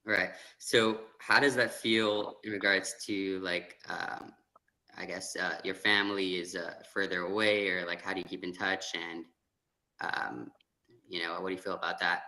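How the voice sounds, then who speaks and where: Bright, low-voiced male interviewer. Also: level tone, rough recording. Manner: hesitant, halting questions. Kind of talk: casual interview questions.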